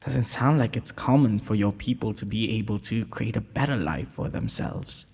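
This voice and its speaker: Man playing a breathy, courteous young prince, long reverb. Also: on the low side, lively intonation, moderate pace, a little mellow, heavily acted. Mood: thoughtful. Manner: formal, polite, breathy speech with hesitations. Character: gentle, courteous young underground prince, nervous, earnest suitor. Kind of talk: romantic audio roleplay acting.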